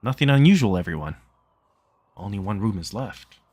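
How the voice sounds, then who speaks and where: A man's voice: fairly low pitch, lively intonation, moderate pace, darkish tone. A man voicing a polite, concerned male lodge owner in a visual novel read-aloud.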